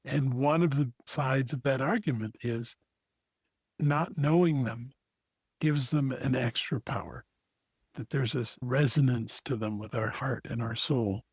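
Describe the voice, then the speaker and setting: A man's voice: medium pitch, a little slow, breathy edge, dry recording. A man co-hosting a podcast, speaking in a thoughtful, earnest, reflective way. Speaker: podcast co-host. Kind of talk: reflective conversation.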